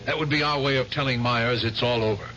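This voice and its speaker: An actor playing a cautious war veteran in a 1970s radio drama, reasoning warily. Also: male voice, mid-range voice, fairly even intonation, fast, crowd noise, close to an everyday voice. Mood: wary. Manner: wary, reasoning. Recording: dull 1970s radio broadcast, noise behind.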